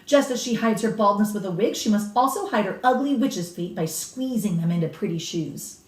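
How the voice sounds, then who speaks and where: A woman's voice: medium pitch, lively intonation, moderate pace, natural voice. A woman reading a storybook as a grave, deadly serious elderly grandmother who stretches key words, in a roomy, distant recording. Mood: grave, emphatic. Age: older. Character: grave elderly grandmother solemnly warning about witches. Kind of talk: dramatic children's storybook reading. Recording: roomy echo, distant mic.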